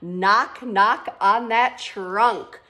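A woman in her thirties reading a picture book aloud to children in an animated, expressive way, like a teacher at story time. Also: medium pitch. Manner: expressive, with gasps and drawn-out words for children. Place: living room at home, on a couch. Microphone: phone camera about a metre away.

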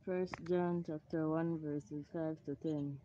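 Very bright, low-voiced woman reading scripture. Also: steady tone, slow, lo-fi sound, a little hiss or hum. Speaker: scripture reader.

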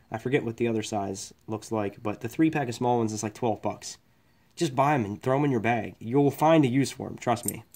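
A man in his workshop talks through his work tools in a loose, rambling way. He is frank and swears casually, like an electrician chatting to other tradesmen. His voice sits at an ordinary middle pitch. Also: darkish tone. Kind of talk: casual tool review. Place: workshop bench, indoors.

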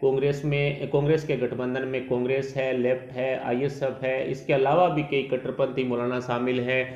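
A man narrating a scripted report in a news style. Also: medium pitch. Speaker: narrator of an election survey report. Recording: dull, echoey voice-over recording.

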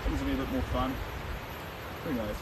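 A young man chatting casually with viewers while painting on a livestream, relaxed with frequent ums. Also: medium pitch, a little fast. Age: young adult. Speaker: painter livestreaming his work. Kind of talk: casual livestream chat answering viewer comments. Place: outdoors on a lawn under open sky. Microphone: camera standing a few meters away. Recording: distant mic, loud outdoor wind and leaf noise.

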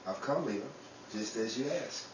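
A man's voice: medium pitch, animated, moderate pace, close to an everyday voice. A man playing a courteous, plain-spoken and mysterious stranger in an old-time radio drama. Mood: gentle, knowing. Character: polite, mysterious stranger, calm and apologetic. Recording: roomy, distant mic, clear noise.

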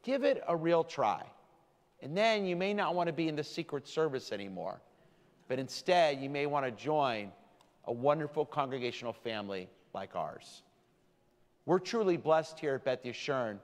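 A man giving a sermon as rabbi to his congregation, speaking with slow, deliberate emphasis. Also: on the high side, lively intonation. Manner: warm, deliberate preaching with slowed emphasis. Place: large synagogue sanctuary. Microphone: stand mic from a far camera. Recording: long hall reverb.

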